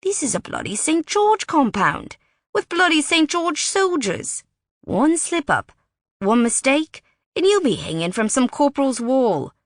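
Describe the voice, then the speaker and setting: A woman's voice: high-pitched, sing-song, measured pace, no echo, clean studio sound, pitched above the performer's own voice, heavily acted. A woman voicing an impatient, stubborn young British man who scolds urgently. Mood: heated.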